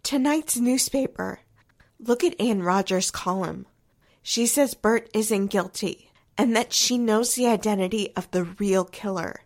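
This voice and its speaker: An actress as an earnest, devoted woman in an old-time radio drama. She speaks very deliberately and slowly, with a hopeful manner. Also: mid-range voice, a little mellow. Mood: anxious. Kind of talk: 1940s radio drama acting.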